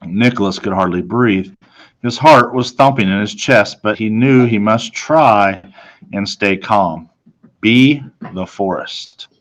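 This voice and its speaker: Low-voiced man reading a storybook. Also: slow. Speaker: storybook reader. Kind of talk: scripted narration of a children's novel.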